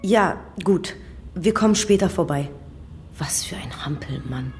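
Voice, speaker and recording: An actress playing a brusque, no-nonsense police detective in a German radio crime drama, curt and dry. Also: female voice, deep voice, lively intonation, a little slow, over music. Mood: annoyed.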